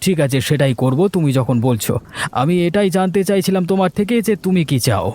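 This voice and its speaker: A man's voice: on the high side, lively intonation, fast talker, warm tone. A man voicing a simple, trusting village husband in a cartoon story, speaking in a mild, hesitant manner. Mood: unsure. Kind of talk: Bengali cartoon story voice acting. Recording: music bed under the voice.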